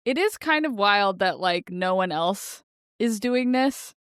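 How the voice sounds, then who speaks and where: A woman with a smooth, clear voice at a middling pitch chats as a podcast co-host. Her delivery is casual and wry, full of joking and easy laughter, in a dry recording. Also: expressive, unhurried, fairly bright tone, no echo. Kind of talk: casual book discussion chat.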